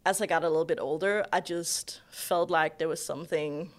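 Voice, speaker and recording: Chatty young Danish woman, lowish voice. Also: steady tone, moderate pace. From Denmark. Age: young adult. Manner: chatty, laughs often, many fillers. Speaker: guest. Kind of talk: casual interview chat.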